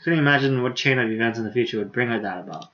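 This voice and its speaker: A man livestreaming a visual novel, reading the dialogue aloud haltingly with stumbles and self-corrections, and adding casual commentary. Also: medium pitch, bright, forceful tone, lo-fi sound.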